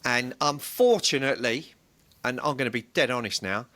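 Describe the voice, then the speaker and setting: A man's voice: mid-range voice, moderate pace, pushed and bright. A man co-hosting a podcast, bantering casually with a blunt, rambling way of talking and the odd swear word. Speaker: podcast co-host.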